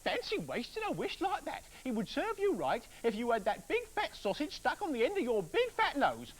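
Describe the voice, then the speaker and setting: A man voicing a grumpy middle-aged housewife who scolds in a very high, shrill voice. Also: huge pitch swings, fairly quick, heavily acted, poor audio. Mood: furious.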